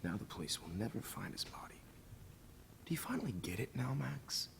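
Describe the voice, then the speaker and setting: An actor playing a smug, menacing teacher and photographer villain in a video game, with a calm, condescending, gloating delivery. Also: male voice, mid-range voice, fairly quick, vocal fry, in a whisper. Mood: cold, condescending. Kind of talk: dramatic video game acting.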